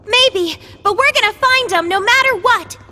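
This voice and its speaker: A woman's voice: very high-pitched, moderate pace, sharp tone. A woman voicing a small, earnest, idealistic young girl in a video game. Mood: earnest, rousing. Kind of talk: English video game voice acting.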